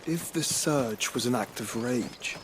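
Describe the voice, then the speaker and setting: A male actor as a learned fantasy gentleman with a mid-range voice. He talks in a formal, thoughtful, eloquent way, with courteous reserve. Faint music sits behind the game dialogue. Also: medium pitch, takes it slowly, close to an everyday voice. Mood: puzzled. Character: refined, scholarly, courteous male traveller. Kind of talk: dramatic video game cutscene acting.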